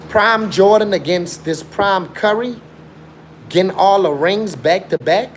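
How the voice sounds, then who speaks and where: A man's voice: sits high, a little slow, a little hiss or hum. Emphatic young man chatting on a livestream. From born in Chicago, raised in South Africa. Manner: emphatic, repetitive, slangy talk with frequent restarts. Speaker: livestream host. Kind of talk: casual live-stream chat.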